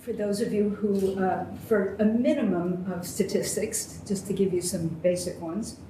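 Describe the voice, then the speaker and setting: A woman's voice: mid-range voice, fairly even intonation, a little slow. Hesitant woman interpreting from afar. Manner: hesitant, filler-heavy, trailing off quietly at phrase ends. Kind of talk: consecutive interpretation. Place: university lecture hall. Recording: distant echoey hall with faint noise.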